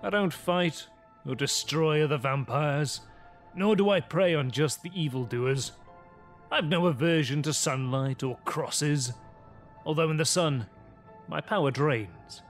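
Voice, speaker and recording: An actor plays an ancient male vampire, a cold and arrogant predator in a gothic audio drama. He speaks slowly and haughtily, with menace in each measured phrase. Music plays behind his voice. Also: fairly high pitch. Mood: cold, predatory.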